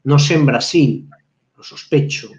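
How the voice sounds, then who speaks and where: A man speaks as a guest professor on a live video call. He thinks aloud, pausing on fillers and restarting phrases. The sound is roomy and a little dull, like webcam audio. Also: medium pitch, a little mellow. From Spain. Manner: reflective, halting, frequent restarts and fillers. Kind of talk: live webinar discussion.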